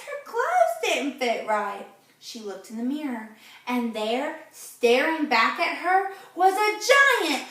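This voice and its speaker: High lively lady reading a kids book slow, gentle teacher vibe, lots of echo, mic far. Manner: gentle, asks children questions, teacherly. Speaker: read-aloud host for children. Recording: very echoey room, off-mic.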